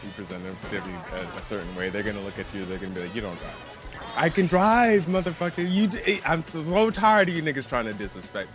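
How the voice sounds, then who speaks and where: A man's voice: lowish voice, sing-song, a little fast. A man from New York chatting casually and profanely while live-streaming a racing game. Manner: profane, joking, rambling. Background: game music.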